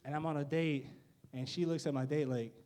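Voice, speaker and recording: Awkward young comedian laughing at himself. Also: male voice, a little high, fairly even intonation, fairly quick, a little mellow. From United States. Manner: self-deprecating, awkward, laughs at his own jokes. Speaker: stand-up comedian. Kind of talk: stand-up comedy set.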